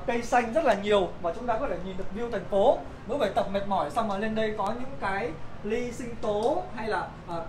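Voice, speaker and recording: A young man hosting a livestream from inside a gym, chatting upbeat and speaking straight to his viewers. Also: high voice. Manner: chatty, addresses viewers directly. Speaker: livestream host touring a gym. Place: indoor gym and rooftop cafe. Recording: roomy gym echo with crowd noise.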